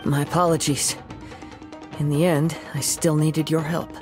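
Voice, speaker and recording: A man plays a principled young swordsman from a video game. He speaks with measured, formal composure in a soft-edged youthful voice. Music is clearly heard behind him. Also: fairly high pitch, level tone, lightly acted. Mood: calm. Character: calm, principled young male wandering swordsman. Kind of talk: video game character acting.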